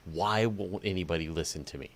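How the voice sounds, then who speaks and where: A man hosting a conversational interview, talking in an animated way with restarts and repeated phrases. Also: low voice, brisk. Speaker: interview host. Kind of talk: conversational interview about space.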